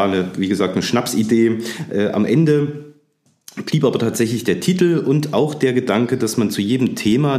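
A man in his forties hosting a museum history podcast, chatty with frequent fillers and restarts. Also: reverberant. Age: 40s. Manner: chatty, many fillers and restarts, lively rises in pitch. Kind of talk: casual podcast chat.

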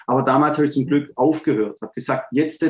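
A man in his forties with a Swabian accent, speaking earnestly as an interview guest and often pausing to search for words. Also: medium pitch, reverberant. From Swabia, Germany. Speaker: guest, fathers' coach. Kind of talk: reflective interview talk.

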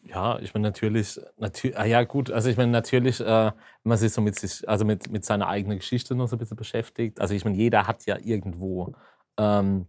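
A man's voice: lowish voice, steady tone, fairly quick, darkish tone, dry recording, studio quality. A man from the Palatinate, answering hesitantly with many restarts. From Palatinate, Germany. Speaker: guest.

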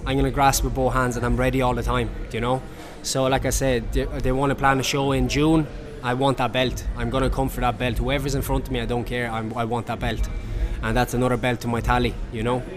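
Young man, fighter, chatty and energetic, repeats himself, loud crowd behind, event venue. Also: medium pitch, a little fast, hardly any pauses. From Romania, raised in Ireland. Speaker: MMA fighter. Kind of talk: post-fight interview.

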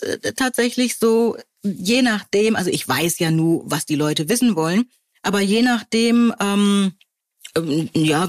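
A woman from Germany co-hosting a podcast, telling stories wryly and quoting people in lively voices. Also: mid-range voice, no echo, studio quality. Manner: wry storytelling, quoting others in lively voices. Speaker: podcast co-host. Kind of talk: casual conversation.

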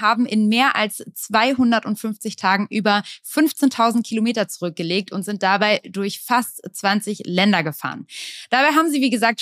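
A woman's voice: mid-range voice, fairly bright tone, no room sound, studio quality. A woman hosting a podcast, reading a scripted introduction and then chatting casually with her guest in an upbeat, friendly way. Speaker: podcast host.

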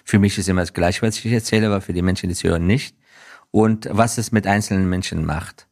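This is a man from Afghanistan with a low voice, answering as a guest in an interview. He talks in an earnest, reflective way. His sentences halt and restart as he searches for the next phrase. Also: fairly even intonation, fast talker, a little mellow. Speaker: interview guest, business professional.

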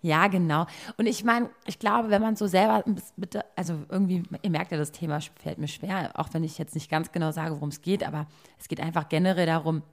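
A woman in her thirties talks in a candid, searching way, with many pauses and restarts as she looks for the right words. Her voice sits fairly low for a woman. She sounds like she is thinking aloud. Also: steady tone. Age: 30s. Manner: searching, hesitant, confessional. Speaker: podcast co-host. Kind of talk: candid casual conversation.

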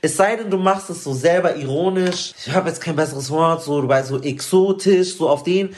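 A man's voice: a little high, moderate pace, a little mellow, slight background noise. A man co-hosting a casual discussion, speaking informal, slangy German with bits of English mixed in. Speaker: talk show co-host.

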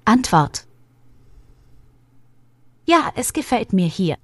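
Clear woman reading a language lesson. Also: medium pitch, big pitch swings, dry recording. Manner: measured, instructive. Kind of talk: clear scripted lesson delivery.

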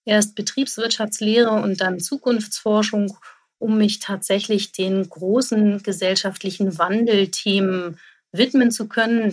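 A woman in her forties introduces herself as a podcast guest. She speaks earnestly and with clear engagement. Her voice sits in a middle pitch. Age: 40s. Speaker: futurist, guest. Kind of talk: podcast interview.

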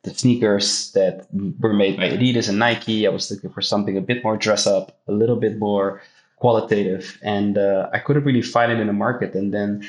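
Low-voiced man in an echoey room. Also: a little fast. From Netherlands. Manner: relaxed, talks in loose run-on sentences with fillers. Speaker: guest, fashion brand founder. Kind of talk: casual interview.

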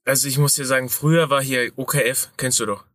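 A man's voice: mid-range voice, fairly even intonation, fast talker, a bit bright, no echo, clean studio sound. A man co-hosting a podcast, rambling in casual, crude banter while he has a cold. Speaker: podcast co-host.

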